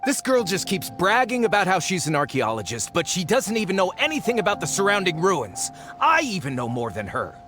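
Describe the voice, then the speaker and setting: A man's voice: high voice, huge pitch swings, brisk, hardly any pauses. An actor playing a greedy, boastful man who calls himself a scholar, scornful and given to sly chuckles. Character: greedy boastful male treasure-hunting scholar. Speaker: game character. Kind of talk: video game cutscene acting. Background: game soundtrack music.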